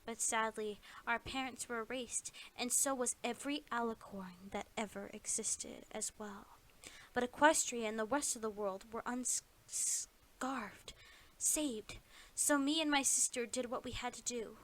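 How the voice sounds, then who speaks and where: A woman's voice: on the high side, unhurried. A woman reading scripted story narration in a dramatic storytelling style.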